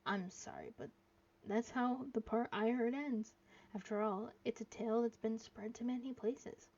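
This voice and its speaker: A woman's voice: fairly high pitch, moderate pace, darkish tone, muffled recording. A woman live streaming a game, reading dialogue aloud and reacting, sometimes stumbling over the words.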